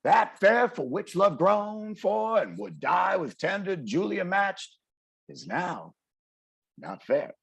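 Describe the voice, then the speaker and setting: A man playing a brash, streetwise poetic performer with a gravelly, husky voice, swaggering and declamatory in a table read. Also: high-pitched, big pitch swings, takes it slowly, heavily acted. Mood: solemn, declamatory. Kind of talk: dramatic table read, slang and verse. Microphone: video call. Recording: video call.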